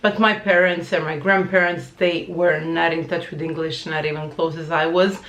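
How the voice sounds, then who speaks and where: A woman's voice: fairly low pitch, steady tone, normal speed, a bit bright, echoey room, dull audio. A woman in her thirties with a Croatian accent, a language teacher chatting casually to camera and searching for words now and then. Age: 30s.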